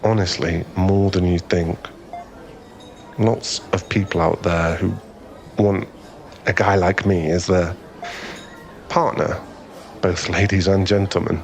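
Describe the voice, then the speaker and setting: A man's voice: sits low, fairly even intonation, slow, vocal fry, long pauses, slight background noise, exaggerated voice. A man playing a friendly, easily flustered young guy in a conversational ASMR boyfriend roleplay, with a hesitant, rambling, self-effacing way of talking. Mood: shy, candid.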